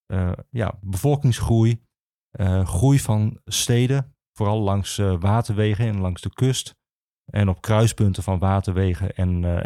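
A man explaining history as an interview guest, speaking haltingly with many filled pauses. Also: lowish voice, mellow, no echo, studio quality. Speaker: archivist, podcast guest.

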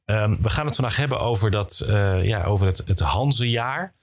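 Low-voiced man hosting a podcast. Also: normal speed, no room sound, clean studio sound. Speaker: podcast host. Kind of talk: conversational podcast interviewing.

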